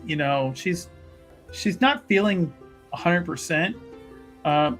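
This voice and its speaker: Woman, tabletop player, animated pitch swings, rambling wry narration, casual, soft music underneath. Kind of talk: casual in-game narration of own character. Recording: faint music behind. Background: soft background music.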